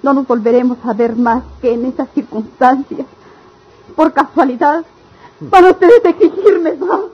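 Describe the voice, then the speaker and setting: A woman's voice: high voice, unhurried, breathy edge, exaggerated voice. A woman acting a resolute, devoted young betrothed country girl, solemn and firm, in a radio melodrama. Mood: firm, defensive. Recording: dull lo-fi radio drama sound.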